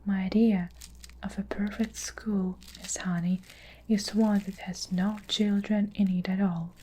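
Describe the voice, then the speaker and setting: A woman's voice: mid-range voice, level tone, unhurried, dark tone, husky, poor audio. A woman whispering the lines of a furious, child-hating giant of a headmistress who snarls insults. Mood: scornful.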